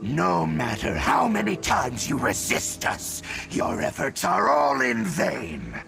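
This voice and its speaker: An actor playing a menacing, haughty abyssal sorcerer in a video game, with theatrical delivery. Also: male voice, fairly high pitch, wildly swooping pitch, slow, gravelly, music in the background, full character voice. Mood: taunting. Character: menacing, gloating male abyssal sorcerer monster.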